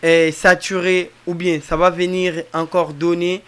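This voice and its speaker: A man with a Congolese French accent walks viewers through an informal production tutorial. His voice sits a little high and sounds clean and smooth. He explains in a halting, repetitive way, circling back over each step as he demonstrates it. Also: little pitch movement, fairly bright tone. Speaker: music production tutor, mixing engineer.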